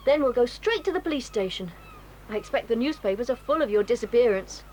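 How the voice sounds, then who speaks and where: A woman with a British accent playing a sensible child who speaks calmly and decisively. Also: on the high side, fast talker, a little hiss or hum, natural voice, poor audio.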